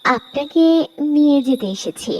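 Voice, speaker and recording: Woman as high-pitched eerie young ghost woman. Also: normal speed, close to an everyday voice, rough recording. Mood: beckoning. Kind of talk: horror cartoon voice acting.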